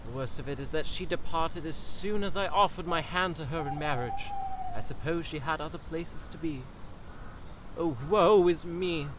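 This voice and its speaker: A man's voice: on the high side, moderate pace, lightly acted. A man playing a lovestruck, pompous young prince in a comedic fairytale audio drama, declaiming in flowery, grandiose, melodramatic fashion. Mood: wistful, woeful. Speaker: voice actor. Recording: amateur recording, clear background noise.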